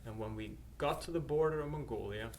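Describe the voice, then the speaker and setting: Middle-aged male missionary from Norway, plain mid pitch, halting testimony with fillers; faint background noise. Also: a little mellow. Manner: hesitant, many uhs and restarts. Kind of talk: personal testimony to camera.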